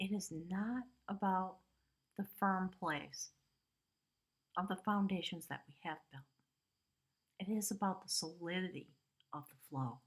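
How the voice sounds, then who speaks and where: A woman's voice: on the low side, measured pace, pauses often, slightly rough. A woman giving a casual spiritual tarot reading, talking chattily with lots of "you know" and "right?" asides. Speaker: tarot reader.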